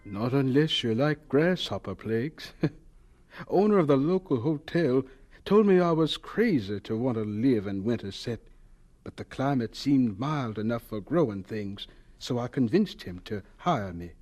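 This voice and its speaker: A man acting in an audio drama as a gentle, earnest, humble young artist who works as a hotel cook, speaking in a heartfelt, sometimes hesitant way. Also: medium pitch, lively intonation, a little slow. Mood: warm reminiscence.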